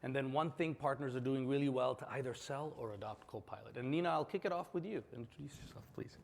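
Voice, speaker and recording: A man moderating a conference panel, relaxed and encouraging as he hands over to speakers. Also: mid-range voice, fast talker, creaky, slight background noise. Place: conference stage. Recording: long hall reverb.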